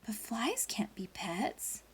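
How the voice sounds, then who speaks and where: A woman's voice: on the high side, huge pitch swings, slow, husky, whispered, heavily acted. A woman voicing haughty pet show judges from a storybook, with exaggerated exclaiming. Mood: amazed.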